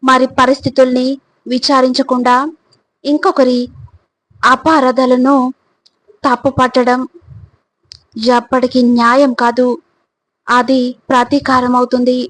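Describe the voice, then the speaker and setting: A woman reading scripted motivational narration in a deliberate, instructive manner, addressing the listener directly. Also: high-pitched, little pitch movement, poor audio.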